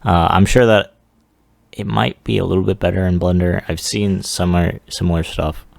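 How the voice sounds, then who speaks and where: A man giving a casual, unscripted software walkthrough in a halting, offhand way with mumbled asides. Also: deep voice, fairly even intonation, gravelly, no echo. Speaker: 3D animation hobbyist presenting a demo.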